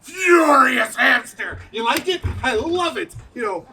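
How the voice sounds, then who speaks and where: Man as a boisterous, very high-pitched amateur actor. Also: expressive, a little slow, shouting, full character voice. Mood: excited. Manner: boisterous, over-eager. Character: gushing, self-important amateur contest-winner actor. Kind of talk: community theatre stage acting. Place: outdoor stage in a parking lot. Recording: distant mic, crowd noise outdoors.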